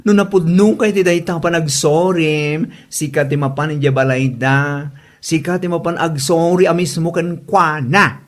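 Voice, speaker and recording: A woman's voice: deep voice, fairly even intonation, measured pace. A woman playing a blunt, nagging friend in an Ilocano comedy drama. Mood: scolding.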